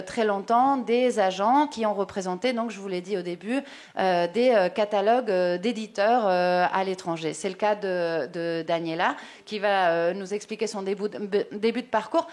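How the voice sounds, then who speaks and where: A woman journalist moderating a round-table, introducing a guest with halting, filler-heavy phrasing. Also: mid-range voice, a little mellow. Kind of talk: panel moderation.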